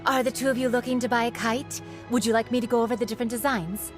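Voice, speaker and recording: A woman's voice: a little high, steady tone, fast, over music, lightly acted. An actress playing a polite, helpful female shopkeeper in a video game, explaining things like a keen seller. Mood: welcoming. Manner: polite, sales-minded, explanatory. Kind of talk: video game character acting.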